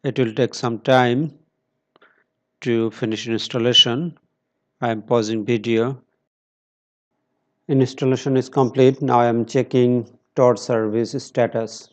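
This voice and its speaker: Slow, steady man walking through a tutorial. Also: medium pitch. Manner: slow, step-by-step instructions with pauses and fillers. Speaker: tutorial presenter. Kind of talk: screen-recorded tech tutorial.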